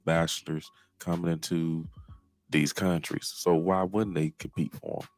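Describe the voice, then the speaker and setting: A man's voice: low voice, little pitch movement, measured pace, no echo. A man giving casual commentary in a slangy, assured manner.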